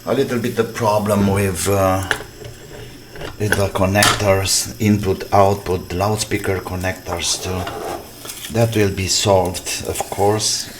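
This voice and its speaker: Man, deep-pitched, unhurried, halting phrases, casual repair commentary, noisy clicky recording. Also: little pitch movement. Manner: halting, thinking aloud in short fragments. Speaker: electronics repair hobbyist presenting his restoration.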